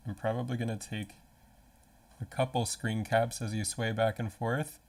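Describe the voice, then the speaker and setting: A man's voice: fairly low pitch, flat delivery, moderate pace. A man in his thirties giving casual livestream commentary as the host. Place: small room at a desk, indoors.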